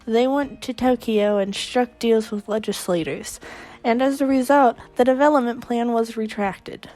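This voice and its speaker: A woman's voice: a little high, moderate pace, warm tone, close to an everyday voice. A woman voicing a brash, boastful, teasing teenage girl in a visual novel reading, speaking emphatically. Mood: proud, excited.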